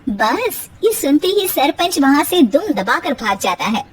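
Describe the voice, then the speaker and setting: A woman narrating a scripted moral story for a cartoon in an even, storybook manner. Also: high voice, unhurried, far from the mic, slight background noise.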